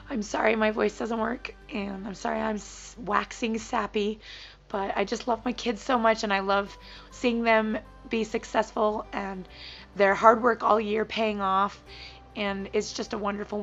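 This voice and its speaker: A woman in her thirties talks casually to her viewers, her voice gravelly and froggy as if she has laryngitis. She is upbeat and a little sappy, quick to laugh. Faint music plays underneath. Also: medium pitch, fairly bright tone, dull audio. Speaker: weight-loss vlogger. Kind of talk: casual chat to camera.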